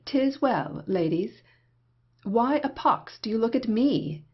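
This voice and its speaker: A dry, irritable schemer of period comedy acted by a woman speaks with cynical bite. The voice is husky and unhurried. The recording is somewhat muffled. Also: on the high side, lively intonation, full character voice. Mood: irritated. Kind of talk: Restoration comedy audio play acting.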